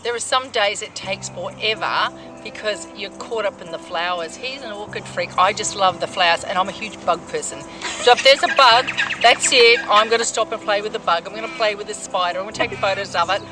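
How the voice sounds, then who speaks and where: A middle-aged woman from the United States chats enthusiastically in a casual interview style. Her pitch is average for a woman, and music plays clearly behind her. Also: a little fast. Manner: enthusiastic, chatty. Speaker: hiker interviewed for a documentary. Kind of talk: casual, anecdotal interview talk.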